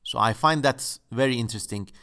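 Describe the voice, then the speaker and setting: A man's voice: mid-range voice, brisk, sharp tone. Playful man. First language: Turkish. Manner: playful, musing, tongue-in-cheek. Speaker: channel host. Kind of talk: casual exploratory commentary. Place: home.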